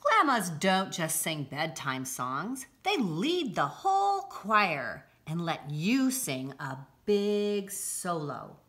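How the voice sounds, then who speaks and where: A middle-aged woman reading a children's picture book aloud, deliberately and with expressive emphasis, recorded indoors a short distance from the camera. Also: lowish voice. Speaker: school read-aloud presenter. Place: indoors, home-like room with armchairs.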